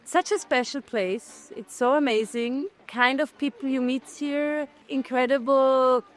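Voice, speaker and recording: A woman's voice: sits high, steady tone, measured pace. Thoughtful Austrian-born woman filmmaker. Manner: thoughtful, searching for words, frequent restarts. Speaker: documentary filmmaker, festival guest. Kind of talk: festival interview answers.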